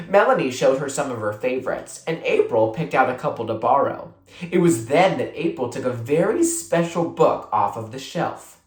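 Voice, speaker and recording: A narrator reading a children's book aloud in an expressive storytelling style. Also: medium pitch. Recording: roomy, echoing sound.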